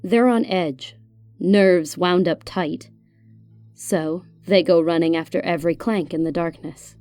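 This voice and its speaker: Woman playing low-voiced, shrewd older guide. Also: steady tone, measured pace, a little mellow, smooth, lightly acted. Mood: shrewd, composed. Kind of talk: audio drama acting. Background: music.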